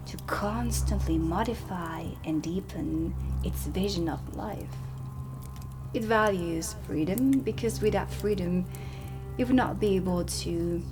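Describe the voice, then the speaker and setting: A woman giving a soft-spoken ASMR roleplay lesson as a witch teacher, explaining in a measured way and dropping into whispers. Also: lowish voice. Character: stern female dark witch teaching at a magic academy. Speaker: ASMR performer playing a teacher. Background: ambient music.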